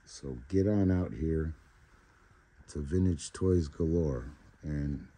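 A man's voice: very deep voice, slow pace, warm tone. A man giving a casual, laid-back store tour in short remarks, recorded on a handheld camera inside a large store. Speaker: vlogger, toy store tour host. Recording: clear background store noise.

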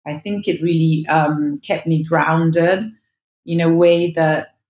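A low-pitched woman with an Italian accent speaks reflectively, often restarting her sentences. She sounds like she is on a video call with earbuds in a roomy home room. She is in her thirties. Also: steady tone, slow, darkish tone. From northern Italy. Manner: reflective, many restarts and fillers. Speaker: guest. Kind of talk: casual interview chat.

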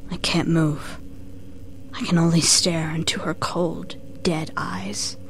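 A woman playing a haunted, sleepless narrator going mad, reading a horror story in a breathy, halting, melodramatic, near-whispered delivery. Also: low-pitched, flat delivery. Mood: haunted dread. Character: haunted, sleepless narrator sliding into cookie-obsessed madness. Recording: noisy, with eerie ambient music behind. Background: slowed, eerie game soundtrack music.

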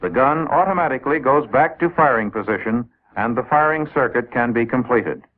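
A man's voice: mid-range voice, animated, fairly quick. An American man narrating a 1950s military training film in a methodical, explanatory manner, addressing trainees directly. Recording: old 1950s film soundtrack, tinny narrow band.

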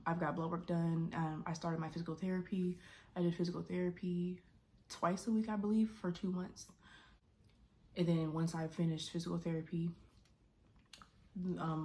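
A woman in her twenties chats to her camera from a bedroom, circling back and restarting her sentences as she goes. Her voice sits on the low side and stays fairly level, with plenty of vocal fry at the ends of phrases. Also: a little mellow, slight background noise. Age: 20s. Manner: rambling, frequent restarts and "like". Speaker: vlogger. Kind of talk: casual personal vlog. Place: bedroom, indoors. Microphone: camera at desk distance.

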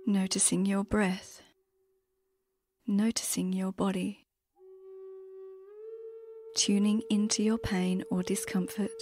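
Female meditation guide, medium pitch, soothing and slow with long pauses, set over prominent soft flute music.

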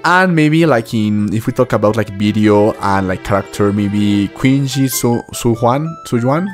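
A man in his twenties giving casual, halting, repetitive reaction commentary as a video host, recorded close up in a small room. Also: fairly low pitch, faint music behind. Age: 20s. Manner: halting, repetitive phrasing with filler words. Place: small indoor room at a desk.

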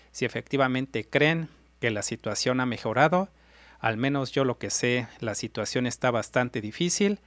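A man's voice: medium pitch, a bit bright, dry recording. A middle-aged man hosting a reaction vlog, talking earnestly to his viewers and repeating his points. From Mexico. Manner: earnest, repetitive, addresses viewers directly. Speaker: reaction video host. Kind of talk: reaction commentary. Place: indoor room before a city backdrop. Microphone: large desk mic with in-ear monitors.

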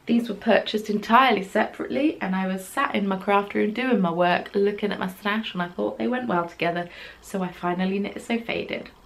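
Young woman, craft-room vlog, gravelly fry, chatty and self-deprecating, rambling with ums. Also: medium pitch, a little fast. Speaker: knitting podcast host. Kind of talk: casual chat. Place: small craft room at home, yarn on the wall behind.

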